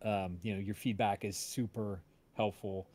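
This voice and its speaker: A man's voice: fairly low pitch, fairly even intonation, normal speed, darkish tone. A man hosting a casual livestream, talking in a rambling, hesitant way. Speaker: audio drama creator hosting livestream.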